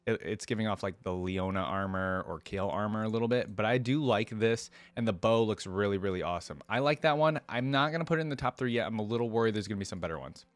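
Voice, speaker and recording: A man's voice: on the low side, lively intonation, fast talker, hardly any pauses, dry recording. A man hosting a reaction video, commenting casually and informally as he muses and thinks aloud.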